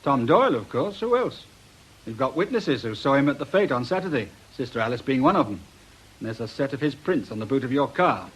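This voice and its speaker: An actor playing a sceptical, down-to-earth police detective in a British radio drama, dry and matter-of-fact with some exasperation. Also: male voice, medium pitch, big pitch swings, fairly quick, husky, rough recording. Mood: matter-of-fact, confident.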